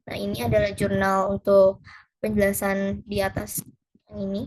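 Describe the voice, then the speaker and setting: A woman's voice: medium pitch, monotone, normal speed, darkish tone, slight background noise, poor audio. A young woman giving an informal tutorial, conversational and a little hesitant, mixing in English terms. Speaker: presenter explaining an accounting lesson.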